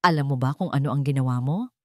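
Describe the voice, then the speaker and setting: A woman's voice: rumbling low, quick pace, no echo, studio quality. A woman voicing an arrogant wealthy man who sneers and threatens. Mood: menacing.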